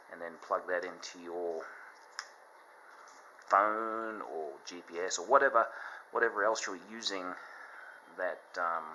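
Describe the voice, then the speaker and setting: A man giving a casual, unscripted product demonstration, explaining things in a halting, step-by-step way. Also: deep voice, pushed and bright, a little hiss or hum, low-quality recording. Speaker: product reviewer.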